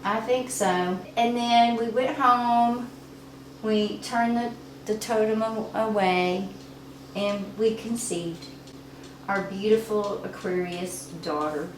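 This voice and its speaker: A middle-aged woman telling family stories in a chatty, animated way as a regular on a family channel. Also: mid-range voice, slow pace. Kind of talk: casual storytime chat. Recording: echoey room with faint noise.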